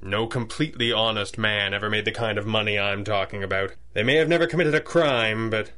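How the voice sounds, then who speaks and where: A brooding masked hero of old radio adventure. His voice is bright and forceful, his speech deliberate and articulate, with the authority of a wealthy boss. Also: fairly low pitch. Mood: brooding, confessional. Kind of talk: 1930s radio adventure drama acting.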